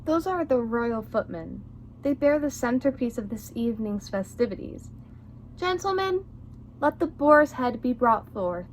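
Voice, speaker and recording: A woman's voice: on the high side, lively intonation, measured pace, poor audio. A woman playing a cutting, regal actress in a 1940s radio play, speaking formally and with command. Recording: faint background noise.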